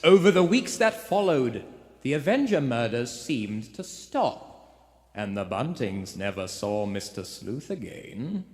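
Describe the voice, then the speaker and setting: A man's voice: mid-range voice, very animated, unhurried, a little mellow. A man playing the dramatic narrator of a suspense radio play, theatrical in manner. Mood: somber. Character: dramatic storyteller of a suspense thriller. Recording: live theater hall with music.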